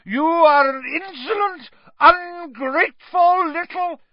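Brief: a loud drunken bully of an aunt, high and shrill, read by a man, sneering. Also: very animated, a little slow, no room sound, highs cut off, full character voice. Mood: furious. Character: loud drunk bullying middle-aged woman.

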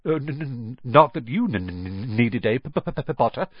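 Jittery young professor who stammers on every word , played by a male narrator; husky edge. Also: medium pitch, sing-song, no room sound, highs cut off. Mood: nervous. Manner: stuttering, halting.

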